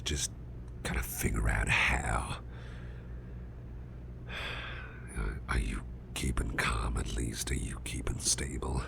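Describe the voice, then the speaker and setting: A man playing a big, strong, grumpy boss who is trying to stay calm, in a gravelly, breathy near-whisper for an ASMR roleplay. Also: very deep voice, exaggerated voice. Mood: pondering, uneasy. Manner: slow, halting, murmured, restrained.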